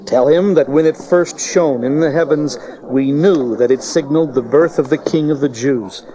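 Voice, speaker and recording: A man's voice: fairly high pitch, moderate pace, mellow, lightly acted. An actor playing a proud, clever young wise man from the East in a Bible audio drama, lofty and declamatory. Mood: lofty disdain. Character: proud young male magus, haughty, clever Eastern sage. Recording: dull cassette tape audio drama.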